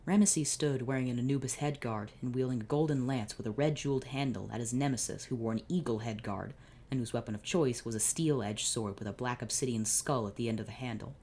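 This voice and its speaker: A man's voice: medium pitch, quick pace, darkish tone. A man, the channel's host, reading fanfiction aloud, stumbling over lines and adding sarcastic asides.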